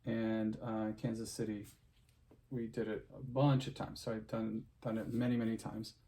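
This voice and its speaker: A middle-aged man with a somewhat low voice and a gravelly, creaky edge talks straight to his audience. He is an opera singer telling stories from his career in a casual, meandering monologue, stopping and restarting his sentences, and he closes with kind advice to his viewers. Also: flat delivery, normal speed. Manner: chatty, full of fillers and restarts, warm sign-off advice.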